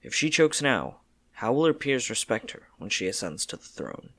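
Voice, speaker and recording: A man's voice: medium pitch, very animated, moderate pace, fairly bright tone, no room sound. A man narrating a scripted story in a dramatic storybook style.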